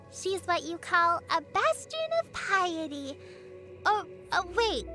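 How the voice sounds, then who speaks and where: A woman's voice: very high voice, pushed and bright, exaggerated voice. A woman voicing a sweet, earnest little girl in an anime game, caring, innocent and chatty. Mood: admiring. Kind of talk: anime game character voicelines. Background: background music.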